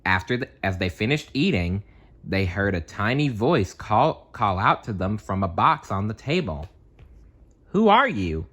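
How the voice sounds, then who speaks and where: A man reading a children's storybook aloud as an expressive storyteller, theatrical and deliberate in his delivery. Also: fairly low pitch, breathy edge.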